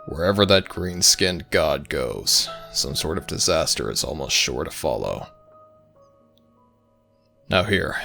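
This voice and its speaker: An ancient god of the dead, played by a man in an intimate audio roleplay. His voice is extremely deep and gravelly, with a forceful edge. He speaks in formal, measured phrases, gruff yet protective. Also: heavily acted. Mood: dry, exasperated. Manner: formal, measured, archaic phrasing, addressing a listener as child. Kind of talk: ASMR audio roleplay, slow intimate dramatic monologue.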